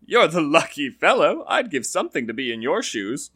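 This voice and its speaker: A gullible, greedy farmer, as a man reads him, eager and envious, with a lifted, lively voice. He begs in a storybook reading. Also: fairly high pitch, moderate pace, husky, full character voice.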